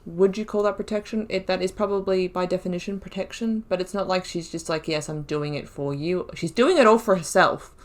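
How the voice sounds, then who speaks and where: A woman's voice: lowish voice, a little fast, few pauses. A woman casually commenting on a game playthrough, thinking aloud in a chatty way and laughing often. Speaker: gaming YouTuber.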